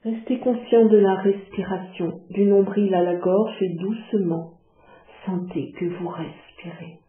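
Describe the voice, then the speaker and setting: A woman leading a guided yoga relaxation, giving calm, measured instructions with long pauses. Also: medium pitch. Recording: muffled phone-like sound, echoey, music behind.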